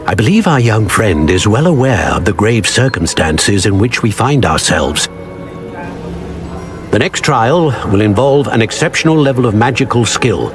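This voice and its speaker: An actor playing a solemn, wise elder wizard guardian in a video game, speaking in a formal, stately, instructive manner. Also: male voice, lowish voice. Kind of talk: dramatic video game voice acting. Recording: music behind the voice. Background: game music.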